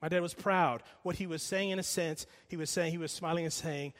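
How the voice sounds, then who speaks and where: Emphatic middle-aged preacher in a reverberant hall. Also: male voice, fairly high pitch, fairly even intonation. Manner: emphatic, slows down and repeats for emphasis, drops quiet when storytelling. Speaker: pastor. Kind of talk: sermon. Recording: long reverb of a large hall.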